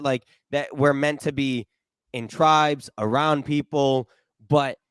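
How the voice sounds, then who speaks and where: A man's voice: mid-range voice, no room sound. A man in his late twenties hosting a podcast, talking in an enthusiastic, rambling and earnest way. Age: late 20s. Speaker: podcast host. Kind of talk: casual interview chat.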